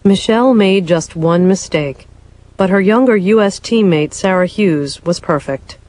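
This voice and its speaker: A woman giving measured, formal, scripted narration for a sports documentary. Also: on the low side, a little mellow, no echo. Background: music.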